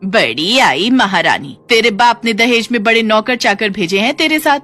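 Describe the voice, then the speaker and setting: A woman's voice: a little high, expressive, sharp tone. Lady doing a mean old mother-in-law for a cartoon, taunting n nagging, voice swinging all over, music behind. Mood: scolding, scornful. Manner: taunting, drawn-out exclamations.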